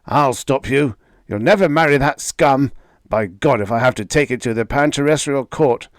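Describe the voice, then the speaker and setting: A man reading aloud, voicing an angry, bigoted middle-aged father who shouts and rants. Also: mid-range voice, a little slow, no echo, studio quality, close to an everyday voice. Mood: angry ranting. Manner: ranting, shouting.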